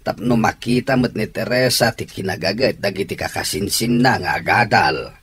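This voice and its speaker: A man playing a middle-aged father in a radio drama, a friendly family man who reasons gently in a conversational way. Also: fairly low pitch, moderate pace, slightly rough. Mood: resigned, patient. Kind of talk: Ilocano radio drama acting.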